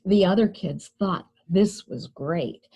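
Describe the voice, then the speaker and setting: A woman hosting a storytime, reading a picture book aloud to young children in an expressive, deliberate way and addressing them directly. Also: lowish voice, breathy edge, muffled recording. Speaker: children's librarian, storytime host.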